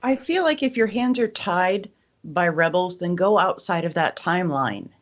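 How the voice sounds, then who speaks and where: A woman's voice: on the low side, expressive, normal speed, lo-fi sound. A woman talking casually about books as a podcast panelist. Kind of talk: casual book discussion.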